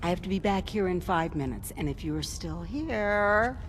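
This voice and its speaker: A woman's voice: animated, normal speed, lightly acted. A woman playing a nosy, chiding but indulgent older woman in a video game. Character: scolding but indulgent older woman, stern motherly busybody. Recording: echoey hall with faint rumbling noise.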